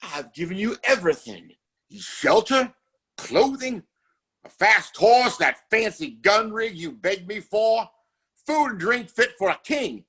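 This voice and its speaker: Casting: male actor as scheming Western patriarch, higher-voiced, formal, commanding and contemptuous, for an audio drama. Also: lively intonation, a little slow, fairly bright tone, husky, exaggerated voice. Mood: angry. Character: domineering, contemptuous father and scheming town boss.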